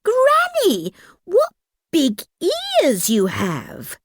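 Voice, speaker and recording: A woman's voice: high-pitched, huge pitch swings, very slow, pitched above the performer's own voice, full character voice. A woman voicing a sweet, trusting little fairy-tale girl with an innocent, eager manner. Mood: wondering.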